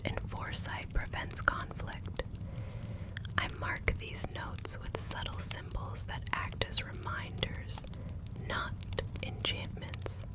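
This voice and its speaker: A woman playing a serene, gentle magical law consultant in an ASMR fantasy roleplay, speaking softly in careful, measured, soothing phrases. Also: lightly acted, low-quality recording.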